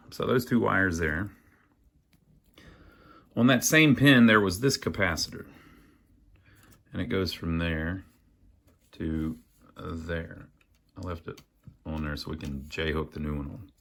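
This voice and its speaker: A man's voice: sits low, animated, slow pace, creaky, pauses often, pushed and bright. A man hosting a casual hands-on repair tutorial, thinking aloud in a folksy way as he works. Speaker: host of an amateur radio repair channel.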